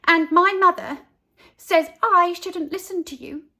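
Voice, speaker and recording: A woman's voice: very high voice, fairly even intonation, unhurried, sharp tone, pitched above the performer's own voice, full character voice. A woman voicing an offended young schoolgirl in a storybook, with a firm, indignant manner.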